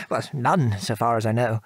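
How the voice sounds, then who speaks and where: An actor with a British accent playing a gentle middle-aged father, a former RAF man, reminiscing in an old-fashioned genteel manner in a radio play. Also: medium pitch, slightly rough, dry recording, clean studio sound. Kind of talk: radio drama dialogue, reminiscing.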